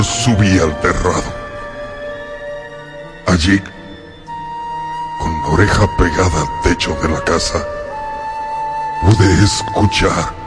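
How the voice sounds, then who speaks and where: An actor playing a lonely, sorrowful monster who tells his story in a brooding, halting way for a radio drama. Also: low voice.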